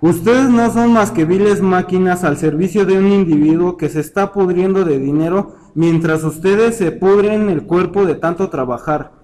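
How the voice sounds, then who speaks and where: A man's voice: high-pitched, normal speed, hardly any pauses, darkish tone, lightly acted, poor audio. A man playing a serious young worker who addresses his fellow labourers in a solemn, accusing, oratorical way in an audio story. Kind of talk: dramatized speech in an amateur audio story.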